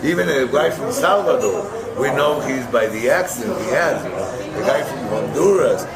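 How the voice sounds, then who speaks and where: A man's voice: medium pitch, animated, normal speed. An older man from Guatemala, telling rambling stories of his past with many fillers and restarts. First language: Spanish. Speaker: interviewee. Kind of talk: rambling storytelling. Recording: crowd noise behind.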